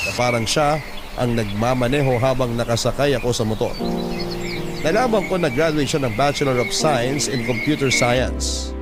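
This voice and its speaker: Male narrator for an audio love story, scripted first-person delivery, heavy music bed. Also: mid-range voice. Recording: loud music bed under the voice.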